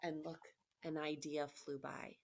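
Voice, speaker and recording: A woman teacher reading aloud to children, expressively and encouragingly, pausing to explain and ask questions. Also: sits low, flat delivery, vocal fry. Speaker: elementary school teacher. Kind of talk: children's read-aloud with questions and asides to pupils. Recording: noticeable background noise, clicks.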